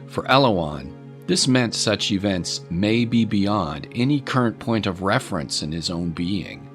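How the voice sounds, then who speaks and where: A man narrating a scripted story in a measured, literary storytelling manner. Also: fairly low pitch, animated, a bit bright, soft background music.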